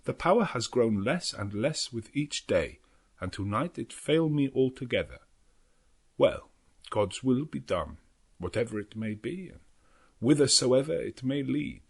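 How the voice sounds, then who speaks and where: Earnest old professor, swooping intonation. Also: male voice, mid-range voice, lively intonation, breathy edge. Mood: solemn, earnest. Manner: halting, non-native English phrasing, deliberate and dramatic. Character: elderly foreign professor, earnest, grave, devout vampire hunter. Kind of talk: dramatic audiobook narration of a journal.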